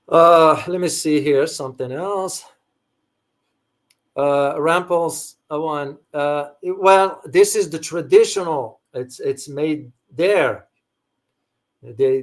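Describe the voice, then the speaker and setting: Moroccan-born man chatting casually on stream. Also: a little high. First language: Arabic. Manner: rambling, frequent restarts and fillers, thanks viewers by name. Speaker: host answering viewer questions. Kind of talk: casual livestream chat.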